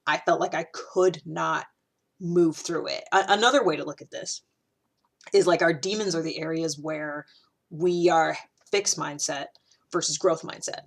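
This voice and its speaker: A woman's voice: low-pitched, unhurried. A woman hosting a casual talk, chatty and thinking aloud with many fillers, restarts and light laughs. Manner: laughs often between thoughts. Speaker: host.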